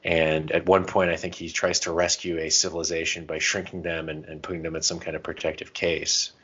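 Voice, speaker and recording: A man's voice: sits low, little pitch movement, a little fast, fairly bright tone, slightly rough, highs cut off. A man co-hosting a podcast in casual chat, with an irreverent, self-deprecating way of talking. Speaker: podcast co-host.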